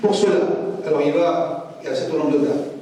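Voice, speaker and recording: A man's voice: fairly high pitch, a little fast, dark tone. A man whose first language is Corsican, giving a public history lecture in a conversational way with frequent asides to the audience. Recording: echoey hall, mic far off.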